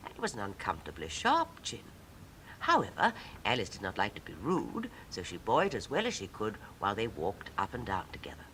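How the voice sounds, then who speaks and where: A woman's voice: wildly swooping pitch, fairly quick, a bit bright, exaggerated voice, poor audio. A woman actor narrating a storybook in a poised 1950s style. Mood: measured. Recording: old 1950s record with rumbling noise.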